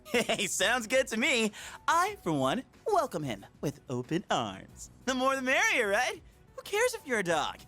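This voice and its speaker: An actor voicing an easygoing, goofy high-school slacker in a video game, casual and exclamatory. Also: male voice, huge pitch swings, a little slow, pushed and bright, soft background music, exaggerated voice.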